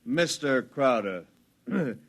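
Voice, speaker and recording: Halting, timid radio-drama employee. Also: male voice, medium pitch, animated. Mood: nervous. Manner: halting, nervous, deferential. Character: timid male engineer nervously objecting to his boss. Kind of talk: 1950s radio drama acting.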